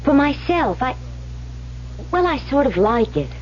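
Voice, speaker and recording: A woman's voice: high-pitched, big pitch swings, a little slow. An actress playing a naive, smitten young woman in a radio drama, earnest, girlish and hesitant. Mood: shy, defensive. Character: naive, smitten young woman named Amy, eager for love. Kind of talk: 1970s radio drama acting. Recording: muffled, noisy old radio recording.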